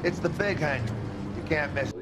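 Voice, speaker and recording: A man's voice: fairly high pitch, lively intonation, brisk, natural voice. A man playing a brash, slippery head of security in a video game, talking in a cocky, slangy way. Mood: assured, irritated. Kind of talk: video game cutscene acting.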